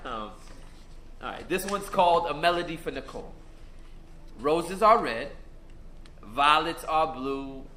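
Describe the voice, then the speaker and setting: A man's voice: a little high, lively intonation, measured pace, long pauses, pushed and bright. A young actor playing a conflicted teenage boy who stammers and laughs nervously, in a stage play. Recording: echoey stage, faint room noise.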